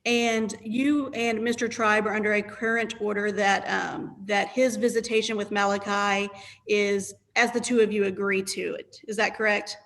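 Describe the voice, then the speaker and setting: Female attorney, gravelly edge, methodical courtroom questioning over a video call. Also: medium pitch, steady tone, moderate pace, a bit bright. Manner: formal, methodical yes-or-no questions.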